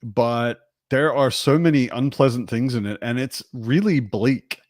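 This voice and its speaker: A man's voice: medium pitch, unhurried, a little mellow, dry recording, studio quality. A man casually discussing books in a reflective, somewhat hesitant way. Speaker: book discussion participant.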